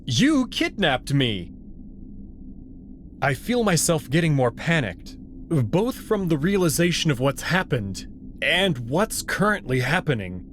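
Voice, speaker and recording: A man reading a visual novel aloud as its narrator, in an expressive storytelling style. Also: fairly high pitch, unhurried, slight background noise. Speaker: narrator and voice performer.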